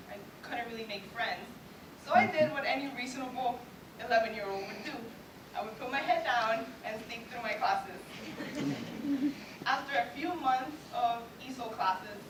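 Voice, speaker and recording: A young woman with a Cuban Spanish accent delivers an earnest prepared speech in a somewhat high voice. She is heard from a distance in a reverberant event hall, with a steady layer of noise behind her. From Cuba. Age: 20s. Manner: earnest, with light self-deprecating laughs. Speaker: student award speaker. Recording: echoey hall recorded from far off, noisy.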